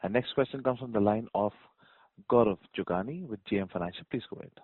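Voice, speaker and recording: A man's voice: on the low side, fast, no echo. A man making formal announcements as moderator of a conference call. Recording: dry narrow phone line.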